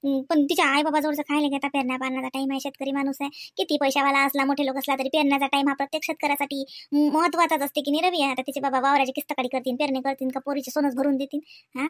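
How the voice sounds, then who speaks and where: A woman's voice: sits high, flat delivery, fast, no echo, clean studio sound, lightly acted. A woman playing an earnest sister-in-law in a cartoon, coaxing and preaching in a Vidarbha Marathi accent with frequent questioning tags. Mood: earnest, insistent. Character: earnest, persuasive sister-in-law counselling a young man. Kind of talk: cartoon dialogue in rural dialect.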